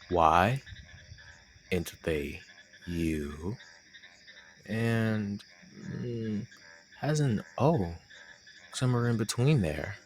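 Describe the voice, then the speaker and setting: Noticeable background noise sits under a very low young male voice, acted by a man. He is a shy, teasing friend with a secret crush, speaking haltingly and intimately in a romantic audio roleplay. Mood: coy, tender.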